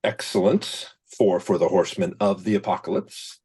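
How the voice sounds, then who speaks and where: A man reading the part of a theatrical, flirtatious housemate in a comedy table read, his voice husky. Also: sits low, steady tone, moderate pace, mellow. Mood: relishing.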